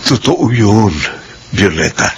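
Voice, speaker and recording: An actor playing a kindly, reassuring family confidant in a radio drama. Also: male voice, on the low side, animated, slow pace, slight background noise.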